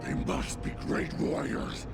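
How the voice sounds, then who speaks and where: An actor voicing a snarling, rasping orc underling in a fantasy game. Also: male voice, takes it slowly, loud music behind the voice, close to an everyday voice. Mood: alarmed, snarling. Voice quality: gravelly, rasping. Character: snarling male orc underling of a dark lord. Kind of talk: fantasy game creature acting. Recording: loud game soundtrack behind.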